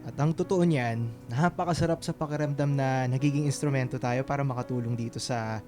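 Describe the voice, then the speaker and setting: A man playing a kind, playful young suitor in a Filipino radio drama, with a coaxing, expressive delivery. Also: mid-range voice, brisk, over music, natural voice. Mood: caring, coaxing. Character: Romel, kind, playful, caring young man courting a volunteer.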